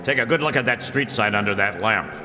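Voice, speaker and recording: Man playing a low-voiced hard-boiled radio detective. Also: a little fast, loud music behind the voice, close to an everyday voice. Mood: urgent, wry. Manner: hard-boiled, slangy, clipped. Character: dogged, wisecracking male private investigator. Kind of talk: old-time radio detective drama acting. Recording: reverberant hall, stage-like sound.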